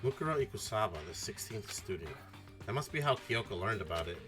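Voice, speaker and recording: Casting: earnest, uneasy male teen protagonist, read by a man, lowish voice, game narration over music. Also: animated, fast, close to an everyday voice. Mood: puzzled. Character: earnest, anxious ordinary teenage boy, narrator-protagonist. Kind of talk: amateur game dialogue and narration read aloud.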